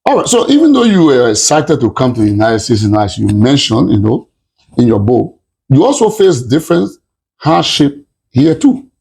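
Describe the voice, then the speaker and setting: A male interviewer with a gravelly, husky voice. His tone is dark and mellow, and he rambles, restating his questions several times. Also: mid-range voice, very animated, normal speed. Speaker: interviewer, host.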